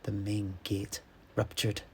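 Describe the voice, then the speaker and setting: A man narrating a fantasy story dramatically, in measured, ornate phrases. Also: on the low side, level tone, breathy edge.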